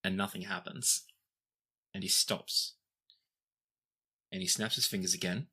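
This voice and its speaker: Bright-voiced man narrating a tabletop game. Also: low voice, steady tone, measured pace, a little hiss or hum. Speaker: game master.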